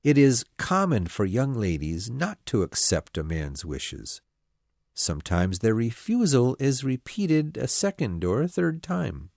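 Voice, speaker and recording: A woman voicing a pompous, self-satisfied young male clergyman who proposes, speaking in a slow, smug, insistent way. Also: extremely low pitch, sing-song, gravelly, a little mellow, dry recording, studio quality, voice pushed down, heavily acted. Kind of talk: storybook character voice.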